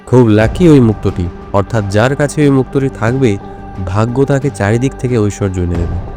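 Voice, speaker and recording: A male actor playing a shrewd, self-assured detective in an audio drama, speaking in a measured, explanatory way. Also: medium pitch, over music, close to an everyday voice. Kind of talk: Bengali audio drama dialogue.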